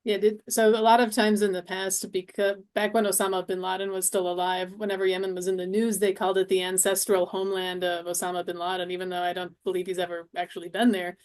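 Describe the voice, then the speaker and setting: A woman runs an online class over a video call, putting short, curious questions to a guest. Her voice has an ordinary middle pitch. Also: level tone, quick pace, hardly any pauses, a little mellow. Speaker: class instructor.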